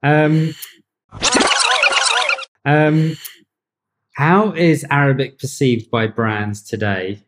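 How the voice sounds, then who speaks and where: A man's voice: medium pitch, steady tone, extremely slow, pauses often. A man hosting a podcast, chatting informally and asking questions in a casual, repetitive way. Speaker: podcast host. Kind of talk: casual interviewing.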